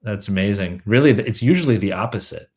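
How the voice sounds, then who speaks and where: A man hosts a podcast interview over a video call, with a somewhat low voice. He asks curious, halting questions, often restarting and repeating words before he lands on the point. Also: animated, a little mellow. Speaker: podcast host. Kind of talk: casual interview.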